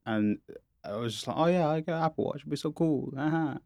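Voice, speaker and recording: A young man from England chatting casually on a podcast, rambling and quick to laugh. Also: fairly high pitch, creaky, a little mellow, no room sound. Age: young adult. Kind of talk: casual chat.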